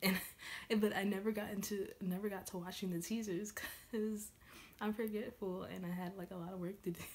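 A woman in her twenties chatting casually and excitedly as a reaction video host, halting and leaning often on "like". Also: mid-range voice. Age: 20s. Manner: excited, gushing; halting, repeats "like" heavily. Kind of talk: casual reaction vlog chat. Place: small room at home, indoors. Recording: clearly noisy home room recording.

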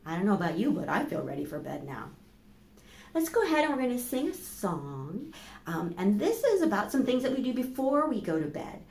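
A middle-aged woman hosting a children's storytime, speaking slowly and deliberately to young listeners as she reads and asks questions. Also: medium pitch, darkish tone. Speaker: library storytime host. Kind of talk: children's storytime, reading picture books aloud. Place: small plain indoor room. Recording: echoey room with faint background noise.

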